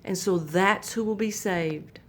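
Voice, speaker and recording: A woman hosting a children's story time, reading a Bible story aloud in a gentle, sing-song way. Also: mid-range voice, a little slow, slight background noise.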